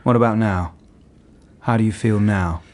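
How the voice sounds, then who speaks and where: An actor playing a patient, considerate male police detective who questions gently and in measured words in a radio drama. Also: on the low side, close to an everyday voice. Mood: patient, gentle. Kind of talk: naturalistic radio drama acting.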